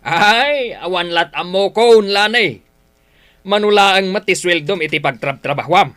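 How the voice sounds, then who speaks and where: Sharp, high-pitched, cruel Ilocano father. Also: unhurried. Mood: mocking. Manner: scornful, taunting. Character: cruel, mocking, hot-tempered middle-aged father. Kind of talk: Ilocano radio drama acting.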